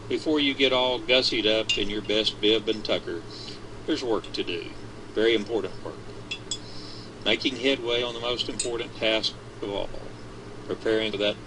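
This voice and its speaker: A man reading a business article aloud with a measured, deliberate delivery. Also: lowish voice, noisy background. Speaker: reader of the article.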